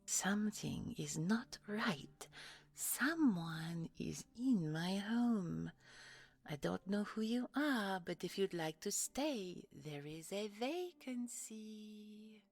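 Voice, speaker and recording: A woman voices a coolly predatory monster queen for an audio drama. The delivery is commanding and drawn out, with a gravelly edge and swooping emphasis. Every line is condescending and menacing. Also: mid-range voice, animated, slow, faint music behind. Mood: cold, suspicious. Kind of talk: audio roleplay acting.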